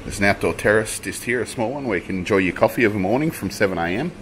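A man's voice: fairly low pitch, fast talker. A man, casually narrating a travel vlog and listing features one by one. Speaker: travel vlogger. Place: hostel interior and city street outdoors. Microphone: handheld camera at arm's length. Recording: steady background noise.

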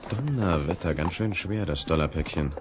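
An actor playing an easygoing small-town sheriff in a radio play, affable and hearty. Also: male voice, deep voice, expressive, moderate pace, noisy background. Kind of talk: 1970s radio play acting.

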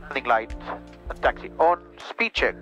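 A man's voice: mid-range voice, normal speed, a bit bright. A young man, a student pilot making cockpit checklist callouts on a headset. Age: young adult. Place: small plane cockpit in flight. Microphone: aviation headset boom mic. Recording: muffled aviation headset intercom, music behind.

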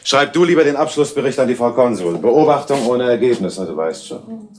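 An actor playing a scheming detective agency boss in a 1970s radio crime drama, who speaks in a smug, commanding way. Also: male voice, mid-range voice, very animated, fairly quick, background noise, natural voice.

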